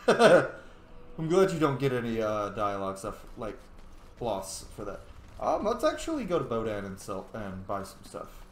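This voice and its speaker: Animated man commentating in an echoey room. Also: on the low side, a little slow. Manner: expressive, informal, swearing. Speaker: gamer, video host. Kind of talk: casual playthrough commentary with roleplayed replies. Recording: echoey room, faint background noise.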